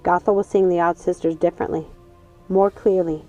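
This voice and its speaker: A woman's voice: lowish voice, steady tone, brisk, a little mellow. A woman reading a storybook as a scripted audiobook narration. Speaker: audiobook reader. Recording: dull lo-fi sound, music underneath. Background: music.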